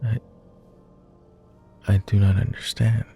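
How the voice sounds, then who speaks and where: A man playing a low, dark-voiced melancholy vampire lord. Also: little pitch movement, slow pace, vocal fry, breathy edge, faint music behind, heavily acted. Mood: earnest, tender. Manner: formal, old-fashioned wording, hesitant, tender. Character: clingy, melancholy male vampire lord, tender and lovestruck. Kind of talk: intimate boyfriend roleplay ASMR.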